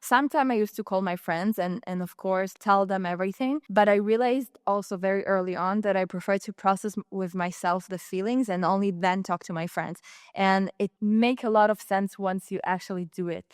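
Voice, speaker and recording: A woman's voice: medium pitch, smooth, no room sound, studio quality. A young woman with an Israeli accent, talking casually and earnestly as a podcast host, rambling with many restarts. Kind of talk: casual solo podcast talk.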